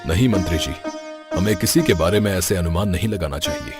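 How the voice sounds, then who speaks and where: A man's voice: low voice, brisk, lightly acted. Raja Bhoj, a man voicing a wise king. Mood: regal. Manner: calm and dignified. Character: kind, wise male king. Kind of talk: cartoon voice acting. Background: background music.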